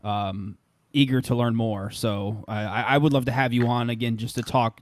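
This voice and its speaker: A man hosting a podcast in a casual chat. His voice sits at a middle pitch and he speaks haltingly but keenly, repeating words as he goes. The recording is dry and close. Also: fairly even intonation, no echo. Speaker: podcast host.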